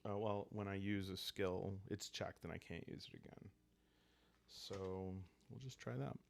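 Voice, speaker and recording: Low, gravelly man, calm and slow. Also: a little hiss or hum. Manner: calm, unhurried, halting, thinking aloud. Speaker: host and solo player of a journaling roleplaying game. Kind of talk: calm solo game narration in ASMR style.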